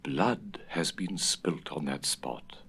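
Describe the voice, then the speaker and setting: Man acting old woman housekeeper, storybook, low hushed voice, grave, old-fashioned, mysterious. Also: animated, slow, slightly rough, exaggerated voice. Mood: ominous, mysterious.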